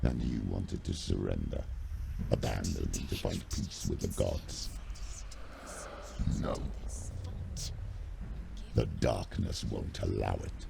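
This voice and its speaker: An actor playing a menacing, cruel demonic voice of darkness in a video game, growling with slow, commanding contempt. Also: male voice, extremely low pitch, noisy background, exaggerated voice. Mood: grim, ominous. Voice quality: gravelly, growling. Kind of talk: dramatic video game voice acting.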